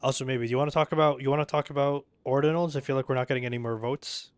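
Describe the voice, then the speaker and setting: Male stream host, casual and joking, smooth dry recording. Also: medium pitch, fairly even intonation, racing, no room sound. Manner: joking, loose and chatty. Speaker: livestream host. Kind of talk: casual live commentary.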